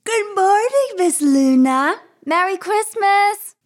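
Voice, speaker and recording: An eager little kid, voiced by an actor, bursting with excitement and chattering breathlessly. The voice is very high and lively in pitch. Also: slow pace, exaggerated voice. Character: eager young child on Christmas morning.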